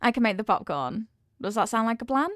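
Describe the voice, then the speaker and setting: A sweet headless horsewoman played by a woman speaks in a fairly high voice, close and dry. She is a devoted, giddy girlfriend in an intimate ASMR audio roleplay. Her talk is playful and full of affection. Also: expressive, fairly quick, no echo, close to an everyday voice. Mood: dreamy, loving.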